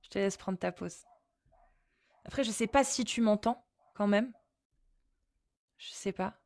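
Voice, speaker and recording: Une femme qui anime une conversation sur la religion et qui pose des questions insistantes, en réfléchissant à voix haute avec des hésitations. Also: female voice, medium pitch, unhurried, no room sound. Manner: questioning, insistent, thinks aloud with fillers. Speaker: host.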